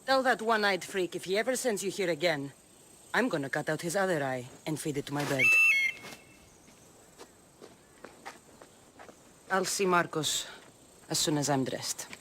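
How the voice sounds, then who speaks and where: A woman's voice: fairly low pitch, animated, fairly quick, fairly bright tone, slight background noise. A woman voicing a tough, sardonic young Greek mercenary in a video game, speaking with a Greek accent and a dry, defiant manner. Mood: threatening. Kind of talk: video game cinematic acting. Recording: music bed under dialogue.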